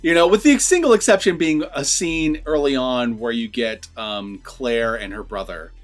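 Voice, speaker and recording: A man's voice: medium pitch, animated, normal speed, faint music behind. A man chatting casually as a movie reviewer, conversational and sweary, repeating words as he makes his points. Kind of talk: casual film review chat.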